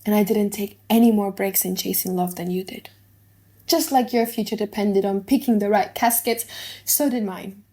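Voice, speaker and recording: A woman playing a boisterous young gentleman in love, chatty and bragging, in a dramatized audiobook. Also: mid-range voice, fairly even intonation, normal speed, close to an everyday voice. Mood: jovial, eager. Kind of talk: dramatized audiobook of a classic play.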